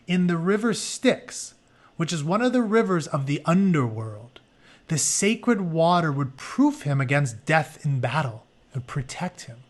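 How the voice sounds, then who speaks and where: A man with a fairly high voice works as a teacher reading a book aloud. He moves between storytelling narration and casual, conversational asides that explain things for the listeners. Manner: informal, conversational, explains as he goes.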